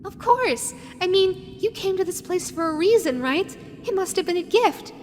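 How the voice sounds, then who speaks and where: A woman's voice: extremely high pitch, lively intonation, moderate pace, slight background noise. A woman voicing a lonely, possessive animatronic fox with a childlike, sweetly sinister manner, dreamy and lingering, in an audio roleplay. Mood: excited, obsessive. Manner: dreamy, lingering, repeats words softly. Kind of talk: scripted audio roleplay addressed to the listener. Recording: long hall-like reverb, music underneath. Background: background music.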